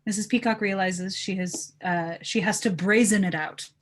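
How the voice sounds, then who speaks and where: A woman's voice: mid-range voice, moderate pace. A woman reading stage directions as narrator in a casual live table read.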